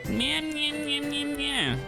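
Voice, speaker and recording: A man pitching up into a gaggle of hungry little dungeon minions, shrill and whiny, chattering in a much higher voice than his own. Their squeaky complaints come with munching sounds, and video game music plays behind them. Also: takes it slowly, gravelly, exaggerated voice. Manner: munching nyam-nyam noises.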